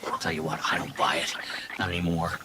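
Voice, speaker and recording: A man's voice: low voice, monotone, quick pace, very noisy, natural voice. An actor playing a nervous, evasive male robot companion who stammers and rambles in video game dialogue. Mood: rambling. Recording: loud game music behind.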